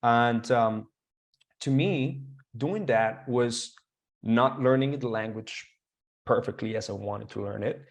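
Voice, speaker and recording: A young man whose first language is Spanish speaks with a Colombian accent in a relaxed interview. His voice sits at an ordinary middle pitch. He rambles along eagerly, stacking fillers and false starts. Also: takes it slowly. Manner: animated, rambling with many fillers and restarts. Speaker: guest. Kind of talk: casual storytelling interview.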